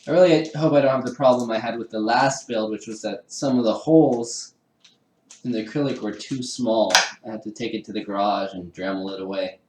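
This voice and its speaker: A man's voice: fairly low pitch, a little fast, darkish tone, echoey room, slight background noise, rough recording. A man chatting casually with live-stream viewers while assembling a kit, laughing often and poking fun at himself. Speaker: livestreamer building a 3D printer kit. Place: home room with a garage downstairs.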